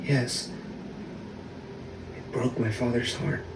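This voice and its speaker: A man voicing an innocent, gentle youngest brother in an animated film, sincere and simply spoken, a little hesitant. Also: medium pitch, takes it slowly. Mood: subdued, sad. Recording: echoey, distant, noisy film soundtrack.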